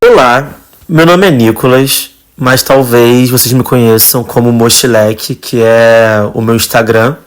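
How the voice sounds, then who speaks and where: Relaxed Carioca man telling his story. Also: medium pitch, unhurried. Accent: Carioca Portuguese. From Rio de Janeiro, Brazil. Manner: relaxed, conversational, with fillers and trailing phrases. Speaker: podcast guest, traveler. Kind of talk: casual personal storytelling.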